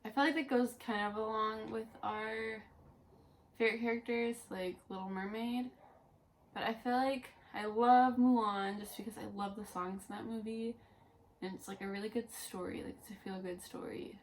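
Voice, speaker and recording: A woman's voice: a little high, fairly even intonation, normal speed. A woman in her early twenties chatting casually and unscripted on a vlog, rambling and peppering her sentences with "like". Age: early 20s. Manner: rambling, frequent "like". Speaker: co-host of a Disney fan vlog. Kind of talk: casual unscripted chat, answering tag questions.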